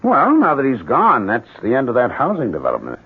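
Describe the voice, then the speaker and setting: An actor in a 1970s radio drama playing an excitable, bitter painter, recorded in muffled broadcast sound. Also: male voice, mid-range voice, brisk, husky, exaggerated voice. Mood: eager, bitter. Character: John, excitable, bitter painter living in a farmhouse.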